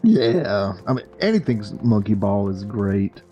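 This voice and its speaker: A man's voice: on the low side, sing-song, unhurried, lo-fi sound. A man making casual banter as a friend on a gaming livestream. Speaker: friend co-playing on stream. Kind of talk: casual chat. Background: music.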